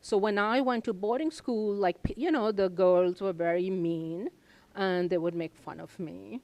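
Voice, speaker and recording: Older woman from India, Hindi native, smooth clear voice, dry close sound, hesitant reflective answers. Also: mid-range voice, unhurried, a little mellow, no echo, low-quality recording. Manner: reflective, with many ums and uhs. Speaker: professor, guest. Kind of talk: interview answers.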